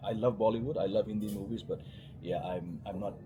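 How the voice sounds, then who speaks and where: A man's voice: on the low side, fairly even intonation, fairly quick, muffled recording, noisy background. A man with an Indian accent, born and raised in India, a cricket journalist chatting as a guest in a casual interview, wry and full of restarts and fillers.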